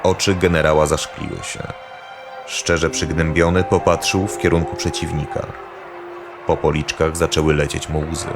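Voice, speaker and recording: A man's voice: very deep voice, flat delivery, normal speed. A man narrating a scripted fantasy audio drama as its storyteller. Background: loud music.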